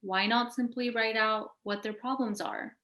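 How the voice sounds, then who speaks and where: A woman's voice: a little high, fairly even intonation, normal speed, a bit bright. A woman hosting a panel, reading out scripted interview questions. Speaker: host asking questions of a panel. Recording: roomy, echoing sound.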